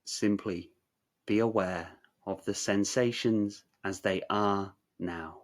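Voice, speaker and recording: A man narrating a guided meditation in a measured, soothing, instructional way, leaving long pauses. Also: lowish voice, steady tone.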